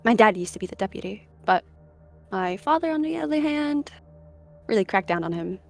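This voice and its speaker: Young female clan cat voiced by a woman, friendly, conversational, mid pitch, animal roleplay over music. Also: expressive, moderate pace, creaky. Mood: reflective. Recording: music behind the voice.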